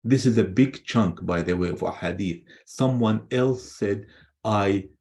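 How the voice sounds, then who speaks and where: Very low-voiced man, halting and emphatic. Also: gravelly, a little mellow. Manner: halting, repeats words, emphatic. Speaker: guest presenter, scholar. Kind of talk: unscripted answer to a question.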